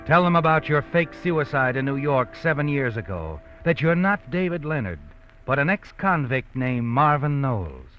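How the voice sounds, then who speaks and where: A man's voice: mid-range voice, big pitch swings, moderate pace, soft background music, natural voice. A man voicing an ominous knowing radio narrator. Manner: second-person, knowing, ominous. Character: mysterious male narrator addressing the hero. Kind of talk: 1950s radio drama narration. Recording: lo-fi 1950s radio broadcast.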